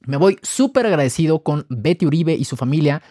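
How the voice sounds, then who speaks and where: A man's voice: a little high, animated, warm tone, no echo. Man narrating a health podcast, dry sound. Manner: measured, explanatory, listing items one by one. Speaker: podcast host.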